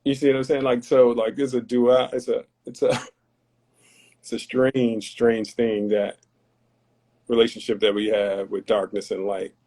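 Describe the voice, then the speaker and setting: A man's voice: medium pitch, level tone, unhurried, mellow. A man from North Carolina, a podcast guest talking in an earnest, philosophical, somewhat preachy way. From Tarboro, North Carolina. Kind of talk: reflective conversational talk.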